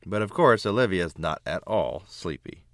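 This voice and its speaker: Animated low-voiced man reading a storybook. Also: moderate pace, dry recording, studio quality. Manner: expressive, emphatic phrasing. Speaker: children's book reader, narrator. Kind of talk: read-aloud storybook narration.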